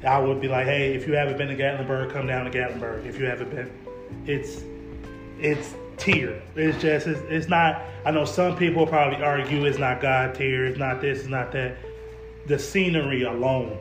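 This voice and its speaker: A man's voice: medium pitch, fairly even intonation, normal speed, over music. Laid-back slangy young man, echoey room. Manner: laid-back slangy talk, repeats words, laughs often. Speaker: podcast host, local car photographer. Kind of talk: casual chat.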